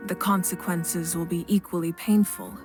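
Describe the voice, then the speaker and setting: A woman voicing a calm, authoritative female ruler of fire in a game, patient and measured. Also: fairly low pitch, over music, natural voice. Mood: composed. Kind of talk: game voice acting.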